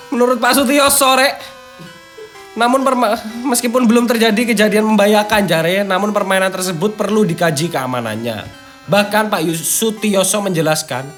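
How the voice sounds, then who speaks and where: A man with a fairly high voice and an East Javanese accent chats casually as a video host. He mixes read-out passages with slangy asides and interjections. Music plays behind him. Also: fairly bright tone. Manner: slangy, peppering talk with interjections. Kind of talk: casual chatty commentary, partly reading out an article. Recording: background music under the talk.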